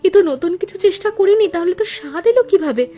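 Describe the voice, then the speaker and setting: A woman voicing a practical young daughter-in-law in a cartoon, talking in a brisk, expressive way. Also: very high voice.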